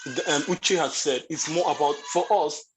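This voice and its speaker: A man speaking on a video call about sales and business, talking hesitantly with many pauses and restarts. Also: a little high, noisy background. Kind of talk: casual panel talk.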